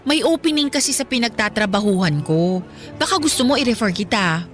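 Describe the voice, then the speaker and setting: A woman's voice: a little high, expressive, fairly quick, natural voice. A woman playing a loyal, frank young best friend in a radio drama, casual and candid. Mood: friendly, frank. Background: faint background music.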